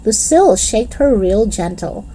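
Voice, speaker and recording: A woman reading a children's storybook aloud in expressive scripted narration. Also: medium pitch, measured pace, slight background noise, low-quality recording. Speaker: children's book reader.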